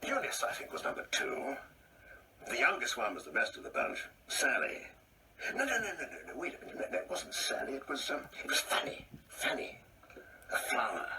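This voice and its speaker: An actor playing an ageing English gentleman in a radio play, pompous, brusque and uneasy, rambling in clipped phrases. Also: medium pitch, huge pitch swings, a little fast, exaggerated voice. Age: older. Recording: distant mic, faint background noise.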